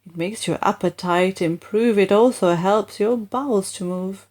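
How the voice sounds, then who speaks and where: A woman's voice: medium pitch, unhurried, a little mellow, natural voice. Woman putting on a fussy old-timey dad, hearty n pompous, comic kids story. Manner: exclamatory, pompous.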